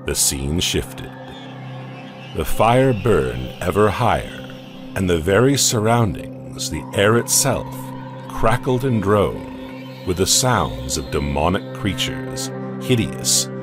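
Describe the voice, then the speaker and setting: Slow deep-voiced man narrating horror. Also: sing-song. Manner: slow, measured, ominous delivery. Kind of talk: dramatic horror audiobook narration. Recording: narration over loud music.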